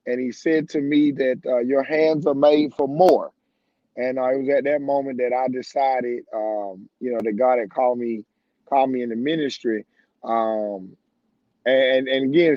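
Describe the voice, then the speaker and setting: A man in his thirties, a young pastor, giving an earnest, halting personal testimony on an online panel. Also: mid-range voice, a little mellow, dry recording, dull audio. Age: 30s.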